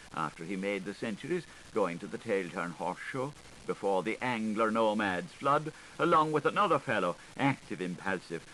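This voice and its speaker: A man reading an unabridged literary audiobook with theatrical, sing-song delivery that swoops suddenly up and down in pitch and loudness. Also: a little hiss or hum, poor audio. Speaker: audiobook reader. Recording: 1985 studio audiobook recording.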